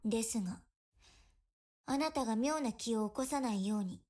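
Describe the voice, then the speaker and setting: A woman's voice: on the high side, quick pace, mellow, lightly acted. A woman playing a stern, serious teenage shrine maiden assassin in a visual novel, speaking coldly and formally. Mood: cold, threatening. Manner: cold, formal, threatening. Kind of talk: Japanese visual novel voice acting. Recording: game music behind the voice.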